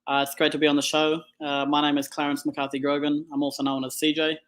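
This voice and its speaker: A man's voice: fairly high pitch, completely flat delivery, fast talker, fairly bright tone. A young Australian man in his twenties, talking casually as an athlete guest in an interview. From Darwin, Australia. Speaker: college wheelchair basketball player, guest.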